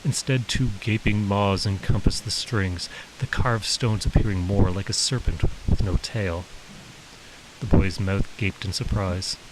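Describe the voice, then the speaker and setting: A man reading his own story as an author, in measured, descriptive scripted narration. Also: lowish voice, fairly even intonation. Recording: hissy background noise.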